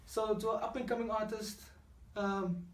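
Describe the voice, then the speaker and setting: A man's voice: sits high, slight background noise. Young Afrikaans-accented man in an echoey room. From South Africa. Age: young adult. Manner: relaxed, halting, filler-heavy. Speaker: rapper guest. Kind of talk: casual interview chat. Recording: roomy, distant mic.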